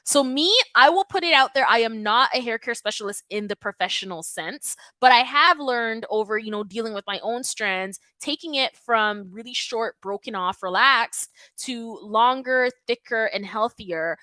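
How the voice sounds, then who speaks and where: A woman from Toronto hosting a live stream, answering viewer questions in an emphatic, animated, conversational way. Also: a little high, moderate pace, hardly any pauses, dry recording. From Toronto, Canada. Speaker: natural hair YouTube host.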